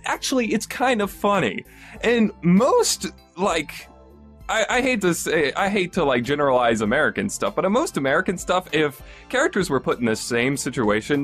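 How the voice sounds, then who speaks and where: Male reviewer, mid-pitched, chatty and loose, restarts often; soft music underneath. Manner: rambling, with frequent fillers and restarts. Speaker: podcast reviewer. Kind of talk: casual spoken review.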